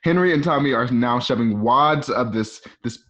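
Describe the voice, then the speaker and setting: A man's voice: medium pitch, moderate pace, a bit bright. A man reading scripted scene descriptions as the narrator of a radio play. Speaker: narrator reading stage directions. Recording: muffled home recording.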